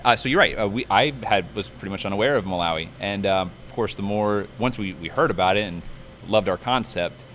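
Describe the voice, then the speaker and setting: A man with a fairly low voice talks quickly and chattily, like a restaurant manager in a casual interview. His delivery rambles along in a promotional way, recorded inside a restaurant with faint background noise. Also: a bit bright.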